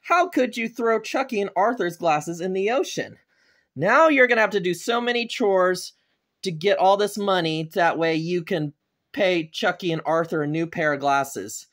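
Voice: mid-range voice, expressive, normal speed, a bit bright, close to an everyday voice. A performer voicing an angry, scolding cartoon father with dramatic, repetitive exclamations. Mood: stern, angry. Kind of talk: amateur animated grounding video dialogue.

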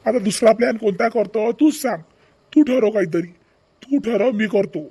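A high-pitched, gravelly village husband acted by a man talks in rustic Vidarbha Marathi. He is mild and agreeable, a cartoon family man who readily defers to his wife. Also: normal speed, mellow. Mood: resigned, agreeable. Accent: rural Vidarbha Marathi.

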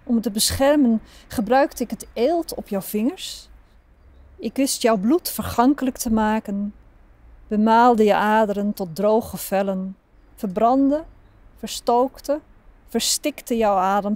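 A woman reciting a poem in a measured, deliberate way, drawing out some phrases. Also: fairly high pitch, darkish tone, dry recording. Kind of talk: poetry recitation, measured delivery.

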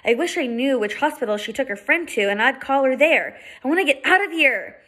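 A woman's voice: high-pitched, expressive, brisk, sharp tone, pitched above the performer's own voice, full character voice. A woman reading aloud and voicing a frightened, indignant young girl who stammers breathlessly.

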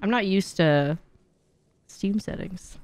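A woman's voice: mid-range voice, slow, a little mellow. A young woman streamer chatting casually and candidly as she plays, swearing freely and thinking aloud into a close microphone. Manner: candid, sweary, talking through thoughts aloud. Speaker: video game streamer and speedrunner. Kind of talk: casual livestream gameplay chat. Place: small home streaming room, indoors.

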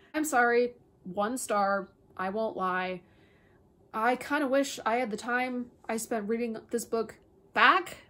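A woman in her twenties with a somewhat high-pitched voice gives a casual book review. She speaks in an opinionated, expressive way, stressing her points as she talks to the camera in a small indoor room. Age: 20s.

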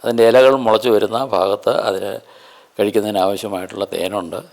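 A man presents an unscripted nature explanation in deliberate, halting phrases with emphatic stresses. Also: on the low side, fairly even intonation, gravelly, darkish tone.

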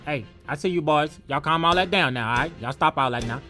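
Man, high pitch, comedy sports voiceover, slangy, profane, trash-talking, music in background. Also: fairly quick, bright, forceful tone. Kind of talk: comedic dubbing of basketball players and referees.

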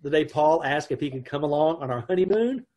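A middle-aged man acts out a wry, put-upon husband from an old radio comedy. His voice is husky and a little high. He wisecracks with weary exasperation. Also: animated, brisk, darkish tone. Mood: wry, narrating. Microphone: video call.

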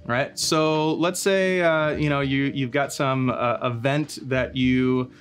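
A man in his thirties explains a marketing topic to his co-host while standing in a bright studio. He talks casually, stumbling over ums and restarts and checking in with "right?" His voice sits at an ordinary middle pitch, with light music faintly behind. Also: fairly even intonation, slow. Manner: hesitant with frequent fillers and restarts; asks the listener leading questions. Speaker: marketing expert. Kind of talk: casual scripted company explainer chat.

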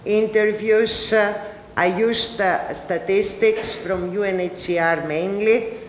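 A middle-aged woman with a Greek accent, a native Greek speaker, giving an academic panel presentation. She speaks haltingly, with frequent filler pauses. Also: medium pitch, fairly even intonation, a little hiss or hum. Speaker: university lecturer presenting research. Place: large ballroom, panel table. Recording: echoey hall with long reverb.

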